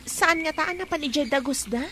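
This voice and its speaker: A woman's voice: high voice, a little fast, natural voice. A woman playing an eager, inquisitive young daughter in a radio drama, with a girlish manner. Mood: surprised. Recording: noisy birdsong and water sound effects.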